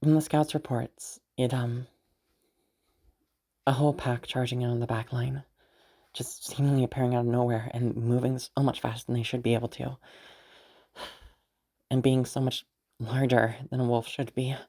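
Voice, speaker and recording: A woman playing an affectionate, teasing young noblewoman in a roleplay audio drama, speaking haltingly and intimately to her knight. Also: very deep voice, level tone. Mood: somber, hesitant. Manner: hesitant, halting, with soft chuckles and sighs.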